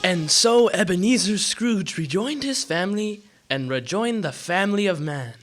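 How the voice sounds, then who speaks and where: A man's voice: high voice, animated, normal speed, faint music behind. A man narrating a Christmas radio drama as a dramatic storyteller.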